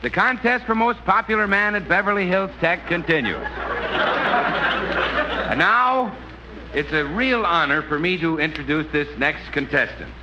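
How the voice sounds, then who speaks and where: A man's voice: sits high, moderate pace, natural voice. A man playing a grand, hearty college master of ceremonies announcing in a 1950s radio comedy. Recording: muffled, very noisy old radio recording.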